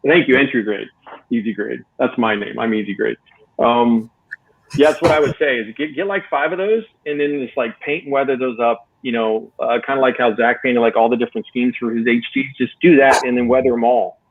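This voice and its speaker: A man's voice: mid-range voice, fairly even intonation, brisk. A man talking casually as a contest judge, explaining technique in a conversational, encouraging way. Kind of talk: casual spoken critique and advice. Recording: thin phone-like voice channel.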